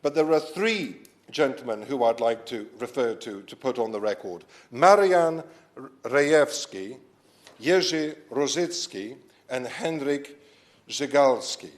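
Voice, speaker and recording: A man born in Poland delivers a formal parliamentary speech in a debating chamber. His voice sits at a middling pitch, and his delivery is measured and deliberate. He adds the odd self-deprecating aside. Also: expressive. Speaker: member of parliament, debate sponsor.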